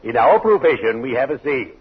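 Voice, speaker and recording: A ghoulishly jolly undertaker from 1940s radio comedy. He speaks in a solemn, stretched-out, theatrical way with a husky edge. The old recording is dull and muffled. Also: medium pitch, very animated. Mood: mock solemn. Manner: solemn, drawn-out, theatrical delivery with macabre puns. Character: morbidly jolly, pompous male undertaker friend.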